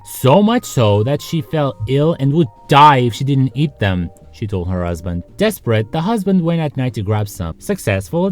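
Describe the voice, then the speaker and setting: A man narrating a comedic scripted video as its host, sarcastic and conversational, with joking asides to the viewer. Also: medium pitch.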